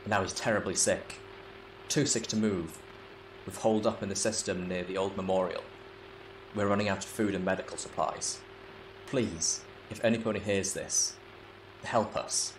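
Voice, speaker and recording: Man as desperate pony survivor, radio plea, subdued and weary, echoey, clearly noisy recording. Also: low voice, steady tone, moderate pace, pauses often. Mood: weary pleading. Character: desperate pony pleading for help in a radio broadcast. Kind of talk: emergency radio message in an audiobook. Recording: clearly noisy, echoing radio-style sound.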